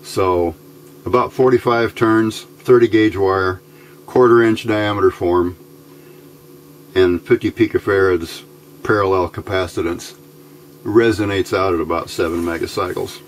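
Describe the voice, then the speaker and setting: Male hobbyist instructor, lowish voice, casual and halting delivery, noticeable background noise on the recording. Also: steady tone. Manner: unhurried, halting, thinking aloud. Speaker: electronics hobbyist host. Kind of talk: casual hands-on tutorial narration. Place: indoor workbench, hands filmed from overhead.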